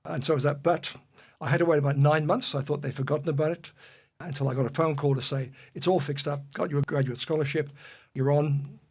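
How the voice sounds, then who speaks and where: A man's voice: fairly high pitch, fairly even intonation, brisk, breathy edge. Older Welsh-born man reminiscing. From Wales. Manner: rambling with frequent fillers and restarts. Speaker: guest. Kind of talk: relaxed reminiscing.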